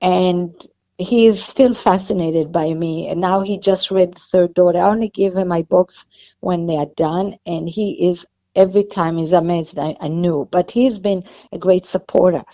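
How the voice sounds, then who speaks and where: A woman's voice: lowish voice, steady tone, moderate pace, dark tone, highs cut off. A woman with an Israeli accent, an author guest talking at length in an interview. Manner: chatty, explains at length with restarts.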